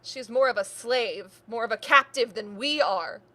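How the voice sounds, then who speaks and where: A woman's voice: fairly high pitch, a little slow, sharp tone. A woman acting in a play reading as a proud, bitter young niece with an intense, declamatory manner. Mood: furious, defiant. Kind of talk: theatrical stage play reading.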